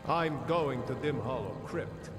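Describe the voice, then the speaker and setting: An actor voicing a proud, grieving vampire hunter of a fallen order in a fantasy game, speaking earnestly and solemnly. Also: male voice, medium pitch, animated, a little slow, natural voice. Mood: wounded resolve. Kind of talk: fantasy video game dialogue. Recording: long hall reverb. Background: game music.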